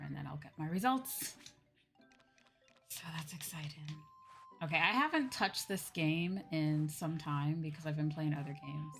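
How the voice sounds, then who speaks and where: A woman hosting a livestream, chatting casually in an informal, rambling way. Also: low-pitched. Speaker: streamer, VTuber host. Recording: music playing behind the voice. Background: music.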